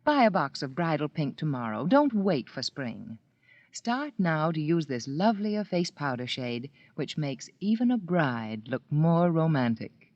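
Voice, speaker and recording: A woman's voice: sits low, very animated, a little slow, a little mellow, no room sound. A woman reading a 1940s radio commercial in a cooing, persuasive way. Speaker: commercial announcer. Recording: dry, dull old radio broadcast.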